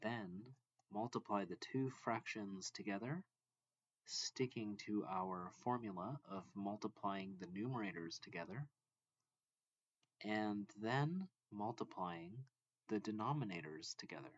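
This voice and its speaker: A man reading a math textbook lesson aloud as a tutor, deliberately and in short phrases. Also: fairly low pitch, dry recording, highs cut off.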